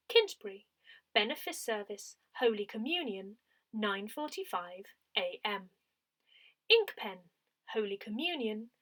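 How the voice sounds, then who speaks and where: Woman reading out a newsletter, highish voice, really up and down, careful phrasing. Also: fairly bright tone. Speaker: newsletter reader. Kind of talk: scripted read-aloud of a parish newsletter.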